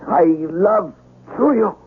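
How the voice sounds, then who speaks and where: An actor playing an earnest, courtly young novice samurai in love, in a 1970s radio drama. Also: male voice, sits high, big pitch swings, drawn-out words, exaggerated voice. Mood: anguished. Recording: muffled vintage radio broadcast, faint noise.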